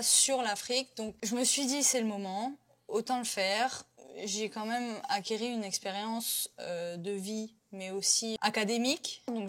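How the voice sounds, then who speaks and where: A woman in her twenties from Côte d'Ivoire, chatting as an interview guest with lots of fillers and restarts. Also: medium pitch. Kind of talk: casual interview chat.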